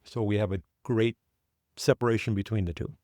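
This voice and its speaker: An older man whose first language is Finnish, telling his family story in an interview, unhurried and often restarting his sentences. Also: lowish voice, steady tone, a little mellow, dry recording, clean studio sound. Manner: unhurried, with halting restarts and self-corrections. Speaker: guest.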